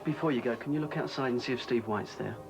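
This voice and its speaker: A man's voice: mid-range voice, quick pace, close to an everyday voice. An actor with a British accent playing a harried male radio DJ who grumbles sarcastically and feels sorry for himself. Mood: sarcastic. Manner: sarcastic, self-pitying grumbling. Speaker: actor in a TV drama. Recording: dialogue under loud music.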